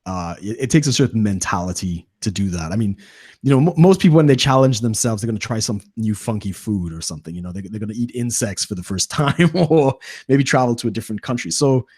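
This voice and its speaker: A man's voice: on the low side, animated, quick pace, a little mellow, breathy edge, no room sound. A man in his thirties hosting an interview from a home studio mic, asking long, rambling friendly questions. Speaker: host. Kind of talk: casual interview. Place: small room at home. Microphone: studio mic on boom arm, headphones.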